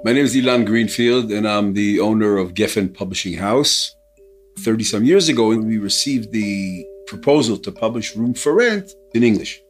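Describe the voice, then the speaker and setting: An older man from Israel, a publisher telling his story in an animated, self-mocking way. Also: fairly low pitch, normal speed, soft background music. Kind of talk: storytelling interview.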